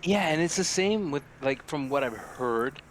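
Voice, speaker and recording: A man's voice: a little high, expressive, normal speed, slight background noise. A man from Canada co-hosting a casual podcast, telling anecdotes informally with some swearing. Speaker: podcast co-host.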